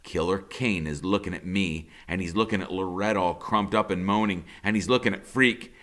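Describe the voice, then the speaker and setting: A man reading a novel aloud as a dramatic first-person narration, expressive and suspenseful. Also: low voice, normal speed.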